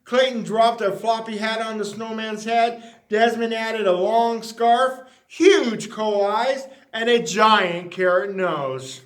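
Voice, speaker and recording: Guy doing kids story time, pretty high voice, stresses words, roomy echo. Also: slow pace, a bit bright. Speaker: storyteller reading a picture book aloud. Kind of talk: animated children's read-aloud. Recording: roomy, echoey sound.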